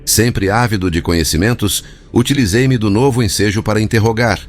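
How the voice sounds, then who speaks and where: Male audiobook narrator, low-pitched and measured, formal scripted reading with light music underneath.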